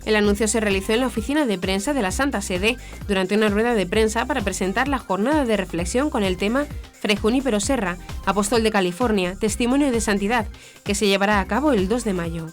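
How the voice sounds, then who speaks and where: A woman's voice: mid-range voice, fast talker. A woman reads scripted news in a formal manner. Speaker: news presenter. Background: background music.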